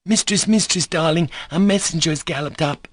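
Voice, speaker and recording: A man's voice: on the high side, a little fast, no echo, studio quality, pitched above the performer's own voice, close to an everyday voice. A man reading a novel aloud, voicing a breathless, eager young maidservant. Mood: excited. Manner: excited, breathless.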